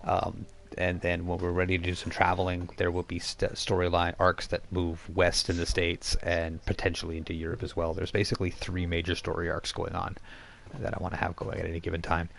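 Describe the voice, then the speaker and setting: A man with a very low, creaky voice runs a tabletop roleplaying session. He talks casually and haltingly, describing things to his players as game master. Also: completely flat delivery, fairly bright tone, slight background noise.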